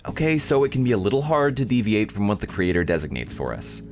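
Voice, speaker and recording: A man's voice: on the low side, big pitch swings, fast, darkish tone, faint music behind. A man voicing a perky, earnest young anime girl in a visual novel, in an eager, gushing manner. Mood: reassuring. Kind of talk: visual novel character dialogue.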